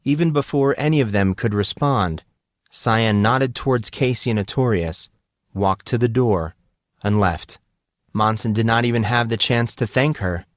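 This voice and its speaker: A man narrates a fantasy story in a fairly low voice. His delivery is scripted, measured and expressive. The sound is dry, with hardly any room on it. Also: no room sound.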